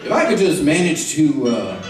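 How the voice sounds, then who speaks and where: Halting Old West marshal, echoey. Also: male voice, fairly high pitch, very animated. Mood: uneasy, resolved. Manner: halting, hemming and hawing. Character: folksy Old West marshal, hesitant, stubborn, decent. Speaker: actor in a radio western. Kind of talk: old-time radio western acting. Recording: roomy, distant old radio recording, music.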